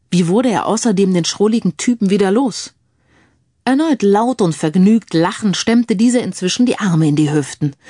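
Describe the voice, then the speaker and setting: A woman's voice: mid-range voice, mellow, dry recording. Dry-sounding woman narrating a crime story.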